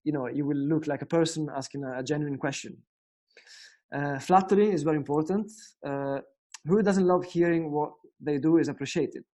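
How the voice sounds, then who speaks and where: A young man with an Italian accent talks through a guitar lesson in a relaxed, unscripted way. His voice sits a little high but sounds mellow and warm, and he often pauses on fillers and restarts his sentences. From Italy. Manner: hesitant, many fillers and restarts. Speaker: guitar teacher. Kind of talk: casual spoken lesson.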